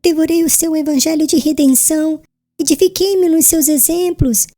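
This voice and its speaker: A woman playing a gentle, devout, frail young bride in a dramatised audiobook, speaking tenderly and humbly in solemn literary phrases. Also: sits high, steady tone, moderate pace, no echo, studio quality, soft background music, close to an everyday voice. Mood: sorrowful, serene.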